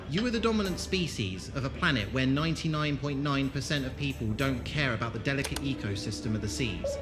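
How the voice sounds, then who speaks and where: A man in an audio drama playing a haunted former soldier and leader, speaking in a deliberate, dramatic way. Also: medium pitch, natural voice. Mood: emphatic, lecturing. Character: haunted, grieving male former soldier, brisk leader of travellers.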